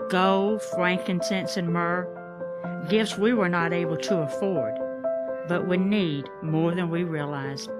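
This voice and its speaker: A woman's voice: fairly low pitch, steady tone, over loud music. An actress playing a reflective mother who narrates her life story with wonder in a Bible audio drama. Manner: measured, reflective.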